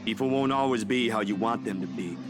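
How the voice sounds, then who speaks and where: A man's voice: on the low side, fairly quick, natural voice. A man playing a polite, principled young man in a visual novel game, earnest and hesitant yet firm. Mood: calm, forgiving. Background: game music.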